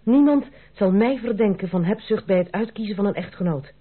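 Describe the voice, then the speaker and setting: Radio drama: proud, earnest young noblewoman, husky voice, formal diction, muffled narrow-band old recording. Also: mid-range voice, expressive, a little fast. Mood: earnest, composed. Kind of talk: Dutch radio play acting.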